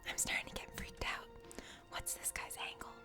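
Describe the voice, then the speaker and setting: A woman's voice: normal speed, music in the background. Whispering woman narrating ASMR story. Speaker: ASMR creator reading a game aloud.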